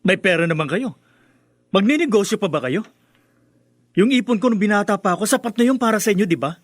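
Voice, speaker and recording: An actor playing a resentful, defiant grown son in a Filipino radio drama, heated and worn out, with a Filipino accent. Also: male voice, high voice, animated, quick pace, dry recording, studio quality. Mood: defensive. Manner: heated, emotional delivery.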